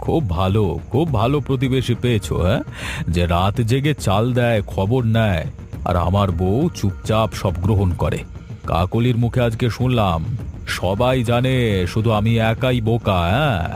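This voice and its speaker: A man's voice: lowish voice, normal speed, natural voice. A man playing a jealous, sarcastic, hot-tempered village husband in a cartoon drama, sneering and accusing. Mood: bitterly sarcastic. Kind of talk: Bengali cartoon drama acting.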